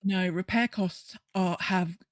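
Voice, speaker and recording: A woman's voice: lowish voice, fairly even intonation, slow pace, no room sound, studio quality. A woman co-hosting a gaming podcast, chatting casually and explaining things in a conversational way. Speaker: podcast co-host.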